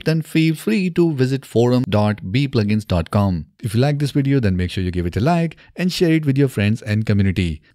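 A man in his thirties narrating a step-by-step software tutorial in a methodical, instructional way, close on a studio microphone. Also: medium pitch, no room sound. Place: small indoor home studio. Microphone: close studio microphone on boom arm.

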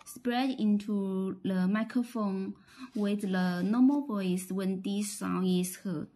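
A woman with a Chinese accent giving a halting, step-by-step instructional product demonstration, often repeating phrases. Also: mid-range voice, warm tone, a little hiss or hum.

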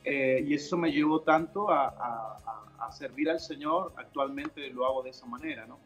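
A man's voice: mid-range voice, moderate pace, a bit bright, faint music behind, low-quality recording. A middle-aged man from Chile, answering interview questions casually as a guest on a video call. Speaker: interview guest, pastor and worship musician. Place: indoor room at home, on a video call. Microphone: video call device microphone.

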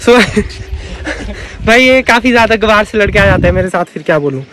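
A young man filming himself on a phone for a casual challenge vlog, outdoors on a hillside and crawling into a cave, chatting excitedly and calling his friend bhai. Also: sits high, fairly quick. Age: young adult. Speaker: vlogger. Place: outdoors on a green hillside and inside a narrow cave. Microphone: phone camera at arm's length.

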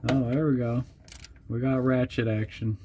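A man's voice: mid-range voice, moderate pace. Male co-host, easygoing, jokes through a repair, recorded beside the work. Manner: relaxed, joking, talks while wrenching. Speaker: channel co-host doing a repair. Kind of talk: casual chat while working. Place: under a van, outdoors. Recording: noisy work sounds and clicks.

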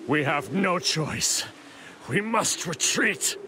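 A man's voice: fairly high pitch, lively intonation, heavy background noise. A man voicing an arrogant, sinister android villain in an anime fighting game, with pompous, drawling delivery. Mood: urgent. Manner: pompous, drawling, deliberate menace. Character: arrogant, sinister male android villain, proud perfect being. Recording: echoing voice under loud game music.

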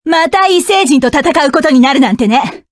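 A woman's voice: sits high, lively intonation, normal speed, no echo, studio quality. An actress voicing a fierce, cold young female mecha pilot in a game, giving curt, commanding battle lines. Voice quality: sharp, commanding.

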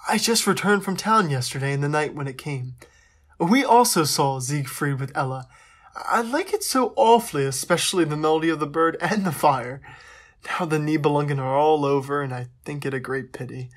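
A man's voice: fairly high pitch, normal speed, close to an everyday voice. An actor playing an affectionate, lighthearted young Russian crown prince, reading his letters aloud in a playful, formal way. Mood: fond, playful. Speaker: actor in an audio drama. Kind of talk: dramatic reading of personal letters.

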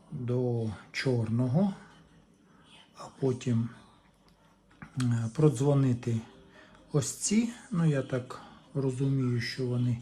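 A man with a dark, mellow, mid-pitched voice talks through hands-on repair work. He sounds like a hobbyist thinking aloud, casual and a little tentative as he goes step by step. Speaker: hobbyist repairer presenting a DIY video.

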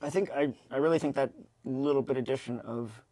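A man, hosting a casual tasting in a halting, wry way. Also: mid-range voice, warm tone. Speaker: host of a beer tasting show. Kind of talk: casual unscripted tasting commentary. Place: kitchen studio, indoors.

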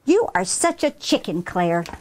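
A woman's voice: lowish voice, very animated, a little slow, darkish tone, slight background noise. A woman voicing a bossy, scornful older sister in a children's story, exasperated and scolding. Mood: huffy.

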